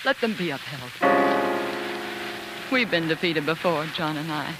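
An actress playing a spirited, fiercely loyal colonel's wife and devoted daughter in an impassioned, theatrical 1940s radio drama style. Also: female voice, low voice, animated, measured pace, rough recording. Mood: defiant. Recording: 1940s radio broadcast with music.

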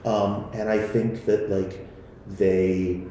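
A man's voice: on the low side, level tone, slow, dark tone. A man on a discussion panel, talking in an earnest, considered way. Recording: echoey room, faint noise.

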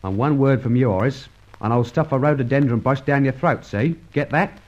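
A man's voice: medium pitch, a little fast, a little mellow, natural voice. A man playing a cheeky working-class sidekick in an old radio serial, chatty, colloquial and jocular. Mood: cocky. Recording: dull, lo-fi old radio recording.

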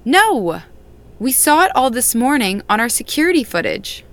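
An earnest farm owner. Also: female voice, fairly high pitch, very animated, normal speed, a bit bright. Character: worried woman who co-runs a family farm. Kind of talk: audio drama acting.

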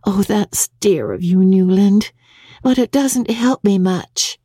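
A woman's voice: medium pitch, takes it slowly, warm tone, breathy edge, no room sound, clean studio sound, pitched above the performer's own voice. A woman voicing a shy young society bride in a storybook reading, plaintive, girlish and coaxing. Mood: wistful. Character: shy, pouting young American society bride fretting sweetly.

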